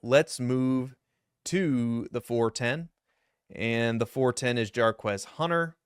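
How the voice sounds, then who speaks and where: A young man with a deep voice that now and then cracks high, casually talking through a fantasy football mock draft with rambling asides. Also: takes it slowly, clean tone, no echo, clean studio sound. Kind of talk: solo mock draft commentary.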